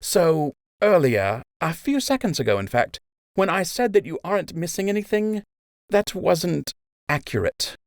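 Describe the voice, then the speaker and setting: A man voicing a brisk, wry young female rabbit healer in an audiobook, reassuring and drily sarcastic. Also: a little high, sing-song, dry recording, clean studio sound, pitched above the performer's own voice.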